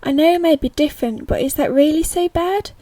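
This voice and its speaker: A woman playing a sweet, gentle little girl witch in a children's audio play, with an earnest, sing-song rhyming delivery. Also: high voice, fairly quick, no echo, lightly acted. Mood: pleading, sincere.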